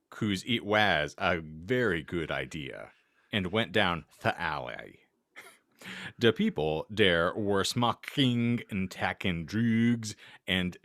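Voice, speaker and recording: A man with a fairly low voice hosts a comedy podcast. His delivery is slow and wry but swoops expressively, as he banters and reads stories aloud in a mock-serious way. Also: no echo, clean studio sound. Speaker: podcast host. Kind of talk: casual banter and mock-serious reading aloud of fan fiction.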